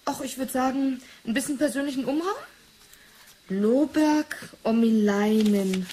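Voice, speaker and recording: High-voiced, chatty female assistant. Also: a little slow, dark tone, slight background noise. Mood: casual, chatty. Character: eager, informal female assistant to an investigator. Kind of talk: 1980s German radio drama acting.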